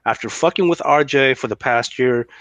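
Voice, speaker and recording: A man's voice: mid-range voice, moderate pace, fairly bright tone, dry recording, dull audio. A man hosting a podcast interview, enthusiastic and prone to stammered restarts. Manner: enthusiastic, repeats words when starting. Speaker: podcast host. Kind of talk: casual interview talk.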